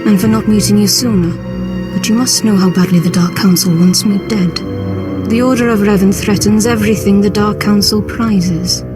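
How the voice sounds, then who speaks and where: A woman's voice: medium pitch, fairly even intonation, normal speed, over music, close to an everyday voice. Woman playing an earnest conspiratorial Sith cult leader. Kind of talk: video game dialogue acting.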